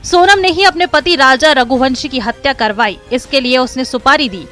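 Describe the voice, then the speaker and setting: High, bright woman narrating crime news. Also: moderate pace, soft background music. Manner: dramatic, emphatic. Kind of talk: dramatic scripted crime report narration.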